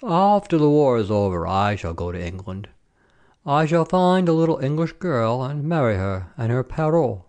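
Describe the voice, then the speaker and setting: Storybook soldier, a man acting: bearded, tipsy romantic, slow adoring delivery at medium pitch. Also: sing-song, darkish tone. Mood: sentimental.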